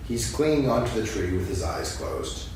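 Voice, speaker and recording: A man's voice: lowish voice, sing-song, moderate pace. A man narrating a staged reading of a children's story, scripted storytelling delivered from a stage. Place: on stage before an audience. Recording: echoey, noisy hall recorded from far off.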